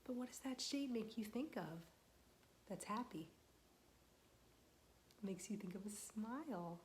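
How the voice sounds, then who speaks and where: A young woman, a children's librarian, reading a picture book aloud to small children, gentle and patient, asking them questions and waiting for their answers. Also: mid-range voice, expressive, a little slow, slight background noise.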